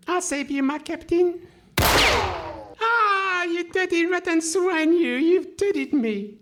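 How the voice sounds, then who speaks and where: A woman plays a naive little boy scout in a radio comedy, high-pitched and slow. The delivery is melodramatic and self-narrating, as if every stage direction must be announced. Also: animated, a bit bright, heavily acted. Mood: melodramatic, anguished. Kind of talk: parody of 1950s radio comedy acting. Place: live on stage in a hall.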